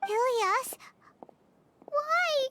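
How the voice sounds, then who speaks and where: A tiny excitable fairy sidekick, extremely high and squeaky like a small child. She is earnest and exclamatory, bouncing widely in pitch in cartoonish game style, with clear noise behind her. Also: drawn-out words, exaggerated voice. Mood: dismayed. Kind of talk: cartoonish video game acting.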